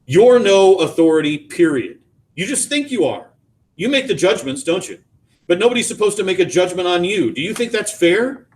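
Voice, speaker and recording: A man voicing an anguished, weary middle-aged father in audiobook dialogue, speaking haltingly and with emphasis. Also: on the high side, lively intonation, voice pushed up, close to an everyday voice. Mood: angry, despairing.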